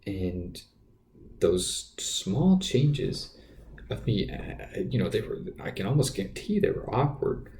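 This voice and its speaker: A man with a naturally quiet voice telling a personal story off the cuff as a podcast host, hesitant and often repeating his words. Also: on the low side, sing-song, takes it slowly, vocal fry, slight background noise, low-quality recording. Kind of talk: unscripted personal storytelling monologue.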